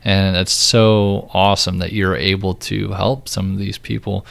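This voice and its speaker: A man's voice: low-pitched, flat delivery, normal speed, vocal fry, fairly bright tone, dry recording. A man hosting an interview, responding supportively and reflecting on what his guest has said. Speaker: interview host. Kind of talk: interview conversation.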